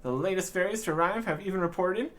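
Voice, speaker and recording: A man's voice: high voice, fast talker, fairly bright tone. A man voicing an anxious, earnest fairy while reading video game dialogue aloud. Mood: worried, earnest.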